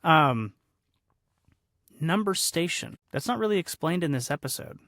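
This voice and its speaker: A man's voice: fairly high pitch, sing-song, a little slow, a bit bright, no echo, studio quality. A man hosting a casual podcast chat, speaking in an informal, enthusiastic way. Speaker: podcast host and cast member.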